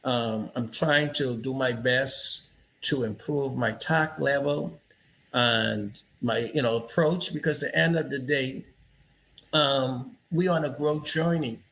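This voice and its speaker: Male host, slow and reflective, bright forceful tone, slight background hiss. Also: medium pitch, vocal fry, rough recording. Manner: reflective, storytelling with asides like "you know". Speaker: interview host. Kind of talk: casual interview conversation.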